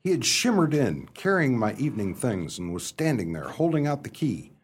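An actor playing a flustered upper-class young English gentleman in a radio play, hesitant and bumbling, narrating his own scrapes in old-fashioned slang. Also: medium pitch, sing-song, normal speed, slightly rough. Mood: wry, exasperated. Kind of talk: radio play storytelling and dialogue.

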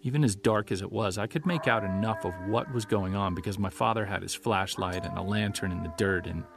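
An actor playing a haunted grown man recalling a childhood monster encounter in a halting, intense audio drama monologue. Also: fairly low pitch, creaky. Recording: music under the voice.

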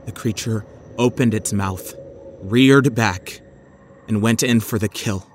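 A man's voice: on the low side, slow, a little hiss or hum. A man giving a dramatic first-person reading of a scripted horror story.

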